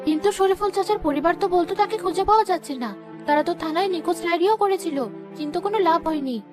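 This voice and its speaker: A woman's voice: very high-pitched, fast, music in the background. A woman playing a village headman's reasonable young daughter in a cartoon, earnest and persuasive. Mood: earnest, reasoning. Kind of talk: cartoon voice acting.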